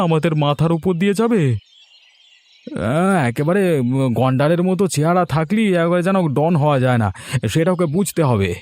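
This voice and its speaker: Man as poor village schemer, cartoon, raised pitch, animated, hot-headed, music underneath. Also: no room sound, natural voice. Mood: indignant. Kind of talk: cartoon voice acting.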